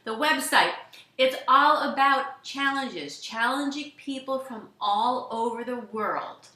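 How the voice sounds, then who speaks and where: A woman's voice: medium pitch, pushed and bright, echoey room, far from the mic. A woman hosting a fitness show, bubbly and gushing as she introduces and questions her guest. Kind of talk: enthusiastic podcast hosting.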